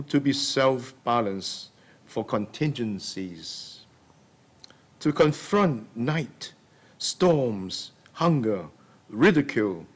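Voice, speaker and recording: Slow older man declaiming poetry. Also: mid-range voice. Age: older, senior. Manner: deliberate, declamatory phrasing. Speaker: poetry reader. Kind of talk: solemn poetry recitation. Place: library meeting room, indoors. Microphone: podium microphone.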